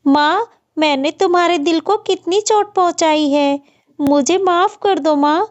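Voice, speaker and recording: A woman's voice: extremely high pitch, measured pace, pitched above the performer's own voice. A woman voicing a remorseful young daughter bird in a cartoon, apologetic and emotional. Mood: tearful remorse. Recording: dull, muffled lo-fi audio.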